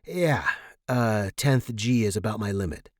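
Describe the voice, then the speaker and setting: A man's voice: lowish voice, lively intonation, measured pace, dry recording, studio quality. A man voicing a fragile, nervous, self-deprecating spaceman in an audiobook, speaking earnestly. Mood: wry, resigned.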